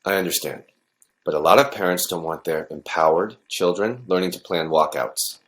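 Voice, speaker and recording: A man's voice: sits low, normal speed, fairly bright tone. An actor playing a cautious, placating male school official in a podcast drama.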